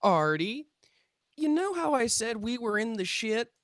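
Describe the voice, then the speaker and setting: A man's voice: very high voice, very animated, unhurried, a bit bright, no echo, exaggerated voice. A man playing a breezy young stoner who works as an amateur paranormal investigator, offhand yet earnest, in a live table read. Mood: sheepish.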